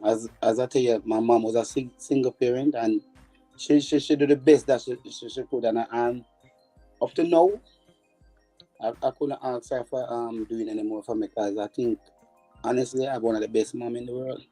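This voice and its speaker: A Jamaican former footballer answering interview questions, halting and repeating words as he recalls his childhood. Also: mid-range voice, steady tone, dark tone, soft background music, poor audio.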